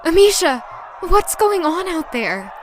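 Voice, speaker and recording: A woman's voice: extremely high pitch, sing-song, a little slow, full character voice. An actress playing a timid, obedient young maid with a girlish manner in an audio drama. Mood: anxious curiosity. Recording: loud noisy crowd and ambience.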